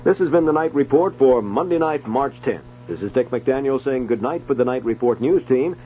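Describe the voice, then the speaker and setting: Radio news guy signing off, mid pitch, tinny phone-like sound, slight hum. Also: expressive, moderate pace. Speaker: radio newscaster. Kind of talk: scripted news sign-off. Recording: narrow, hissy old radio recording.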